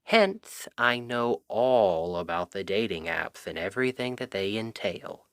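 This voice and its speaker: Male actor as young male Cupid, exasperated but smitten best friend, ASMR roleplay, swooping intonation, unhurried, emphatic. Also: on the low side, clear, resonant tone, no echo, heavily acted. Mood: casual explaining. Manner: expressive, conversational, emphatic. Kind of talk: ASMR roleplay audio drama, one-sided conversation.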